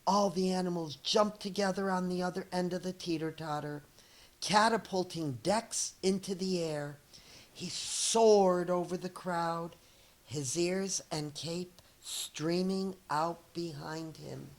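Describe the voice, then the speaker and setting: A middle-aged woman reads a children's story aloud like a schoolteacher. Her voice sits a little low, and she takes her time with deliberate, expressive phrasing. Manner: slow, deliberate, acts out dialogue.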